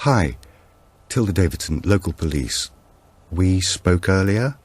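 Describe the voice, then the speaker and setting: A man's voice: low voice, animated, a little slow, darkish tone, slightly rough, exaggerated voice. A man voicing a guarded policewoman who breaks bad news in a careful, formal, restrained way in an audiobook. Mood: careful, gentle.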